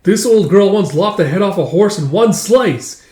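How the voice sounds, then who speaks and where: A man's voice: high-pitched, expressive, moderate pace, warm tone, voice pushed up. A man voicing hearty, boastful Viking villagers who are proud of battle, in a jovial, bragging manner. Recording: echoey room.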